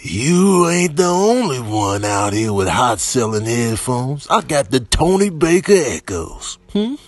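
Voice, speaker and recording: A male comedian doing mock commentary over clips in a theatrical, mock-envious way with drawn-out words. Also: mid-range voice, big pitch swings. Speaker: comedian doing voiceover reactions.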